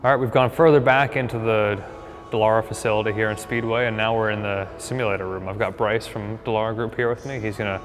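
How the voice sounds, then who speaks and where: Echoey, slightly low-voiced male presenter. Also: fairly even intonation, quick pace, hardly any pauses. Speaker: presenter interviewing on location. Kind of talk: casual on-location interview. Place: simulator room in a racing facility. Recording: long echoey reverb, faint music.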